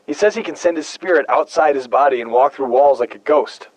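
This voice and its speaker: An actor playing a weary, haunted human survivor stranded for decades on an alien planet, speaking in a brooding, hesitant, confiding way, as in a video game audio log. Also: male voice, mid-range voice, expressive, a little fast, darkish tone, husky, no echo, rough recording. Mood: doubtful, torn.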